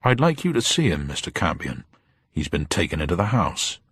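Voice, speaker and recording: A man as a brisk, formal police superintendent. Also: sits low, big pitch swings, husky, no room sound, heavily acted. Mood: formal, insistent. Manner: brisk, formal, quietly insistent.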